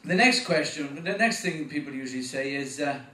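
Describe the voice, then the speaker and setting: A man with a Welsh accent performing a stand-up comedy set, getting animated at punchlines. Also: a little fast, recorded from a distance, noisy background. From Wales. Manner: animated, voice jumps up in pitch at punchlines. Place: comedy club stage. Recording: echoey room, voice from afar.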